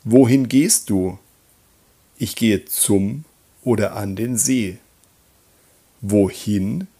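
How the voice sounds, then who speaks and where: A man teaching German grammar in a slow scripted voice-over, reading example sentences and repeating them. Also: on the low side, vocal fry, dark tone, breathy edge.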